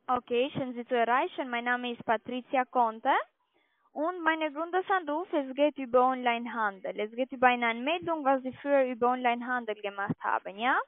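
High-pitched non-native woman on a phone. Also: no room sound. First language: not German. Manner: halting, ungrammatical German. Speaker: telephone sales caller. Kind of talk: cold-call sales pitch. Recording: tinny narrowband phone line.

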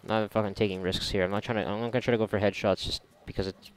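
Low-voiced man, wry gaming commentary. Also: fairly even intonation, very fast, clear, resonant tone. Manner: wry, sarcastic, rambling. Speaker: gamer commentating on a game playthrough. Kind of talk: casual, profane let's play commentary. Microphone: close mic, voice-over on gameplay.

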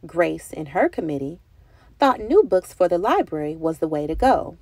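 A woman narrates a children's picture book expressively. Her pitch moves around in a lively way, and the recording is dry and free of room sound. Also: moderate pace, no echo. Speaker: children's book read-aloud reader.

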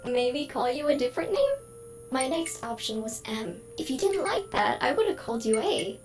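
A woman's voice: sits high, very animated, normal speed, low-quality recording. A synthetic woman's voice as a lonely AI girl. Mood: anxious. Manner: polite, earnest, oddly emotional. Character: lonely female AI trapped in a computer. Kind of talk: game character dialogue. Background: game music.

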